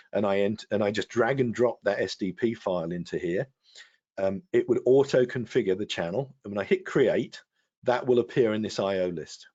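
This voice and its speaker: A man presenting a technical software demonstration as a guest expert, explaining step by step in a halting way. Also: fairly low pitch. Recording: dull, lo-fi web stream audio.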